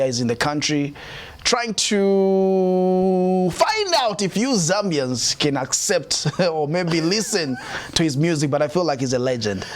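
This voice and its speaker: A man hosting a casual interview, playful and quick to laugh. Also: a little high. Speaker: show host.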